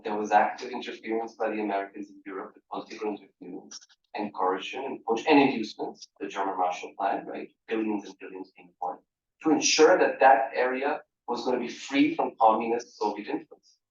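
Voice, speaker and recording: A man from Portugal speaking as a panelist in a conversational discussion, animated, restarting phrases and often asking "right?". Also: on the low side, a little fast, slight background noise. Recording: roomy, distant, dull hall mic.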